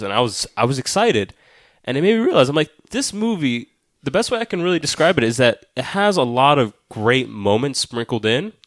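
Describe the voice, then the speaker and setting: A man's voice: mid-range voice, a bit bright, no echo, clean studio sound. A man co-hosting a film podcast, casually arguing his points with swearing and emphatic repetition.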